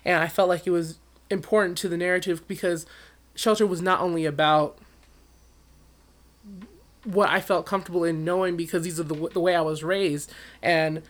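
A man who sings professionally, talking as an interview guest with a high voice and an animated, storytelling manner. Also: moderate pace, pauses often. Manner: animated storyteller, acts out quotes with big leaps in pitch. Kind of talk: conversational interview.

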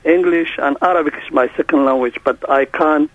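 A man's voice: fairly high pitch, no room sound. Somali-speaking man on a tinny phone line. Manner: halting, restarts often, non-native phrasing. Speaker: court interpreter, radio guest. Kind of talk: phone interview.

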